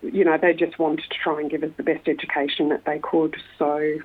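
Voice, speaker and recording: An Australian woman in her forties from Melbourne, an electrical engineer recalling her upbringing in a reflective interview, often pausing with "um". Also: deep voice, flat delivery, husky. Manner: reflective, frequent "um" pauses. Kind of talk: conversational interview. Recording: tinny phone-line sound.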